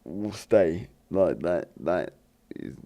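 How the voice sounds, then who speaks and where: A man hosting a gaming channel, talking in a rambling, hesitant way with lots of fillers and restarts, his voice croaky. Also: low-pitched, expressive, takes it slowly, warm tone. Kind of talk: rambling let's play commentary.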